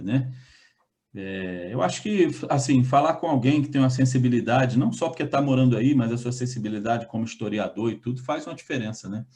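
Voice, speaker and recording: A man's voice: mid-range voice, darkish tone. A man with a Brazilian accent hosting a casual interview about language over a video call, chatty and hesitant, trailing off and restarting often. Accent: Brazilian Portuguese. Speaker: host, linguist.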